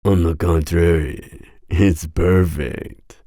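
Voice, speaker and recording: A man playing a masked villain mercenary in an ASMR roleplay, speaking in a formal, deliberate, seductive and menacing way. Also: low-pitched, fairly even intonation, warm tone, dry recording, clean studio sound, full character voice. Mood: courteous, confident. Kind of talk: ASMR roleplay audio drama.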